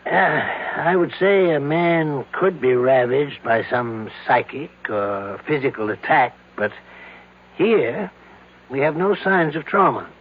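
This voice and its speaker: Radio drama role: measured hospital doctor, professional and restrained, raspy tone, thin old broadcast sound. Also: male voice, fairly high pitch, lively intonation. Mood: clinical, grave. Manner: measured, clinical, matter-of-fact. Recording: tinny, band-limited old radio broadcast.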